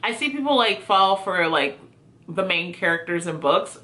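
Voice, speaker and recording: A woman's voice: on the low side, lively intonation, moderate pace, pushed and bright. A woman talking casually to viewers as a book reviewer, chatty and informal with a self-deprecating way. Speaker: book reviewer video host. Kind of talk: casual chat to camera, answering prompts.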